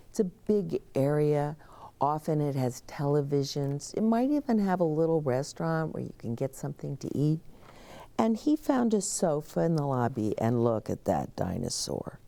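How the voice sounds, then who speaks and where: A woman's voice: deep voice, animated, unhurried, gravelly, warm tone. A woman hosting a children's story time, reading aloud expressively with chatty asides, explanations and questions for young listeners.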